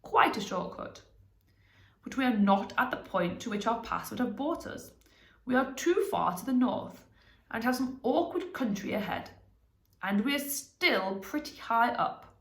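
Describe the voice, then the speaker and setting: A woman's voice: a little high, lively intonation, measured pace, pitched above the performer's own voice. Woman reading as an emphatic old wizard, roomy echo. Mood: brisk, assured. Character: clever old male wizard, brisk, proud, commanding.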